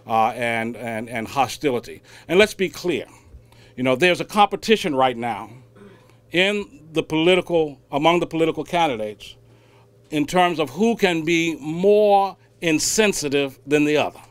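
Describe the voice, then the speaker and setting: Male press-conference speaker, smooth resonant voice on the higher side, emphatic and deliberate delivery. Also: expressive, no room sound. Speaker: civil rights speaker at a news conference. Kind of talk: public statement, impassioned speech.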